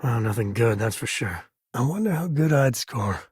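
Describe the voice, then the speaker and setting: An actor voicing a laid-back, protective frontier ranger and father in a space video game, with a husky voice and folksy, wry phrasing. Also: mid-range voice, animated, normal speed, darkish tone, no echo, studio quality. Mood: earnest, low-key. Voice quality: husky, raspy. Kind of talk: video game character voice lines.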